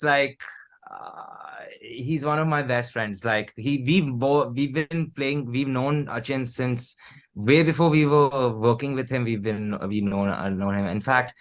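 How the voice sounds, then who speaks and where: A man with an Indian accent and a medium-pitched voice. He speaks as a musician being interviewed, casual and informal, often halting as he goes. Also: fairly bright tone. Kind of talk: casual chat.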